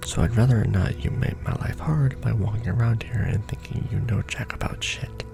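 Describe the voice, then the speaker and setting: A man playing a jaded, sardonic manager at a secret society in an ASMR roleplay, speaking in a dry, world-weary deadpan. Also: fairly low pitch, normal speed, gravelly, over music. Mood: stern, sardonic. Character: jaded, sardonic male Illuminati manager briefing a new recruit.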